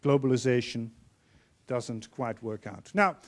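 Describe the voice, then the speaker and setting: A man in his fifties with a Dutch accent, giving an earnest, measured opening speech as panel moderator in a large auditorium, holding a handheld mic. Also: mid-range voice. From Netherlands. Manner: earnest, measured, quoting speakers.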